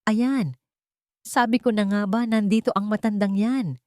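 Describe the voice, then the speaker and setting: A woman's voice: medium pitch, fairly even intonation, a little slow, darkish tone, dry recording, clean studio sound, pitched above the performer's own voice, close to an everyday voice. A woman playing a disgusted, haughty villainous daughter, scornful and demanding, in an audio drama.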